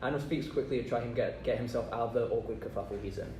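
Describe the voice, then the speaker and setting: A man reads stage directions as narrator at a table read, moving quickly through the script. He sounds distant in a roomy space, with clear noise behind him. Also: mid-range voice, fairly even intonation, warm tone.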